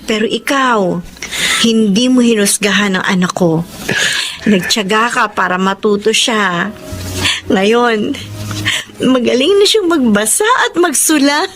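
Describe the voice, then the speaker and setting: A woman's voice: fairly high pitch, a little slow, pauses often, a bit bright. A woman playing a grateful, tender middle-aged mother with a Filipino accent, heartfelt in a radio drama style. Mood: proud, tender. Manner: heartfelt, affectionate.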